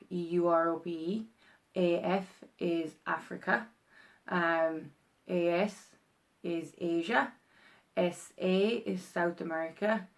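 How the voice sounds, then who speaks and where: A young woman with an Irish accent talks straight to camera in a loose, rambling vlog. Her voice sits a little low and has a gravelly fry running through it. She keeps stopping and restarting with plenty of ums. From Ireland. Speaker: vlogger. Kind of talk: casual explainer vlog.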